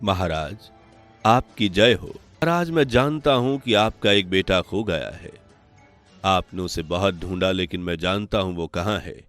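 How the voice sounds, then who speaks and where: A man voicing an old wandering holy man in an animated story, humble, solemn and measured. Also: low voice, soft background music. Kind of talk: animated moral story voice acting.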